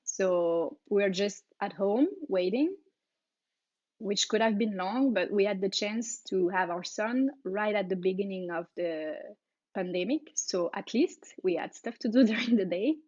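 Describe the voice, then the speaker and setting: A woman's voice: mid-range voice, a little mellow, dry recording, lo-fi sound. A woman in her thirties from France with a French accent, answering interview questions casually with fillers and little laughs. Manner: laughs often, halting with fillers. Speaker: guest, aerialist.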